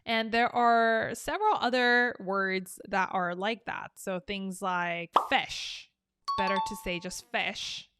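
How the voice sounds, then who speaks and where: A woman's voice: medium pitch, slow, a bit bright, smooth, no room sound, studio quality, soft background music. A young woman from South Korea, teaching an English lesson in a chatty, upbeat way. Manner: chatty and upbeat, explaining with examples. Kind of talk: casual online English lesson.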